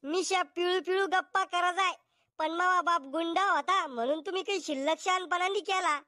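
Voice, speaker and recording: A man voicing a sharp-tongued, nagging village wife who resents her in-laws, in a comedy cartoon. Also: very high-pitched, normal speed. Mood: resentful. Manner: nagging, complaining. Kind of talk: Marathi comedy cartoon acting.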